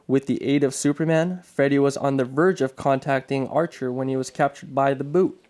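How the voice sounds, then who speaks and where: A man's voice: mid-range voice, darkish tone, natural voice. A man playing a dramatic, declamatory narrator of a superhero serial, in scripted old-time radio style.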